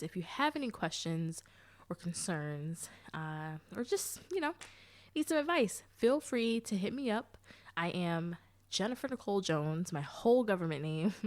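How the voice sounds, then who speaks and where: A woman hosting a solo podcast, talking to listeners like an old friend. Her voice sounds nasal and stuffed up from blocked sinuses. The delivery is chatty and easygoing, with friendly asides and easy laughs. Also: on the low side. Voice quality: nasal from allergies. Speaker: podcast host. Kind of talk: casual solo podcast chat.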